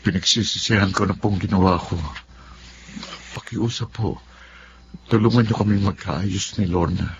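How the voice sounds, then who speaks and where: Pleading young filipino husband, radio drama, lowish voice, lo-fi sound. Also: male voice, fairly even intonation, moderate pace, pauses often, slight background noise. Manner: pleading, earnest. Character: remorseful, pleading younger husband caught cheating. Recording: dull lo-fi sound, music underneath.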